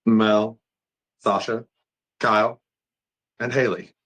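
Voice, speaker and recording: Man acting calm, lowish-voiced ward doctor. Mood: calm, cordial. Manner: measured, gently firm. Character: calm, authoritative male psychiatric ward doctor. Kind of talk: amateur screenplay table read.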